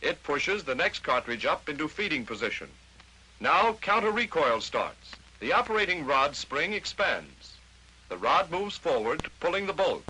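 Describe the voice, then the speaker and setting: Bright, forceful 1940s training film narrator, male. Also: a little high, very animated, moderate pace, slight background noise, poor audio. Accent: American. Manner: clear step-by-step explanatory delivery, addressing the viewer. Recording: old 1940s film soundtrack. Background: voice-over on a training film.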